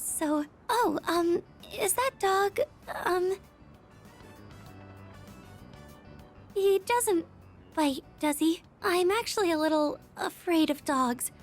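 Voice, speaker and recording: A timid but excitable high-school girl from an anime-style game. The voice sits extremely high and halts and gushes in earnest. Soft music plays behind it. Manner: hesitant, halting, gushing.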